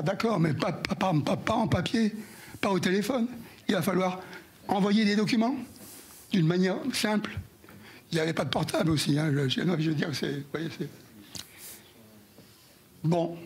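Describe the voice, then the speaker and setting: A hoarse older man giving a speech to an audience, halting and repeating words as he recalls past events. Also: a little high. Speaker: former union president giving an anniversary speech.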